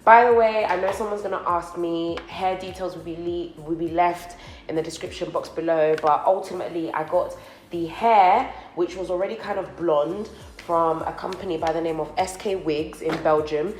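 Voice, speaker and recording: A woman's voice: fairly low pitch, fairly even intonation, moderate pace, darkish tone, reverberant, low-quality recording. A young woman, chatting to her viewers in a casual vlog while doing her makeup, sounding slightly nasal from a recent cold. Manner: rambling and chatty, talks to viewers as friends. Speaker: beauty vlogger. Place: co-working office space, indoors.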